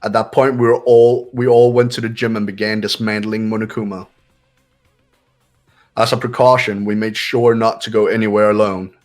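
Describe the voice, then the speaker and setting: Man as low, haughty, commanding young man. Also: little pitch movement, normal speed, faint music behind, natural voice. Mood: matter-of-fact, superior. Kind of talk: amateur visual novel voice acting.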